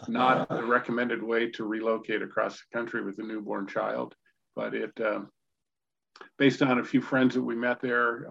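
An American man in his sixties from Indiana, telling personal stories as an interview guest in a halting, conversational way over a video call. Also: medium pitch, vocal fry, fairly bright tone. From Indiana, United States. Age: 60s. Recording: dull, lo-fi video call audio.

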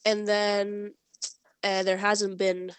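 Slow, hesitant young woman on a video call. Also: medium pitch, little pitch movement, smooth, no room sound. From Norway. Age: 20s. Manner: hesitant, searching for English words, laughs shyly. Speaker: interviewee, sled dog musher. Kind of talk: remote interview conversation.

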